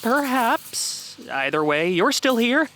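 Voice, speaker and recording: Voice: mid-range voice, big pitch swings, slow, fairly bright tone. An actor playing a friendly, teasing coffee shop barista in a comedy audio drama, talking in a casual, folksy way. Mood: teasing, chummy.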